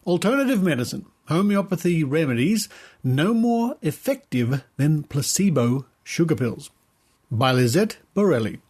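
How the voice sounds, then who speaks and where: A man presenting a podcast, reading an article aloud in a measured, deliberate way with stressed key words. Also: fairly high pitch, sing-song, a little mellow, husky.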